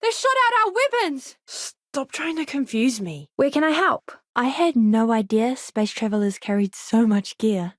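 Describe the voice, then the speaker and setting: A woman voicing an earnest, eager young ship's doctor in a video game, with a polite, expressive manner. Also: fairly high pitch, a little slow, hardly any pauses, no room sound, clean studio sound. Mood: alarmed, urgent, then firm, defiant. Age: young adult. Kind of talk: game character voice lines.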